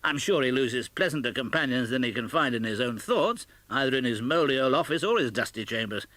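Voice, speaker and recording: A man reading a storybook as a jolly, hearty young Victorian gentleman, chuckling and excitable as he stumbles over his words. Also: medium pitch, brisk, dry recording. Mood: cheerful, pitying. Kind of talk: classic storybook audiobook character voice.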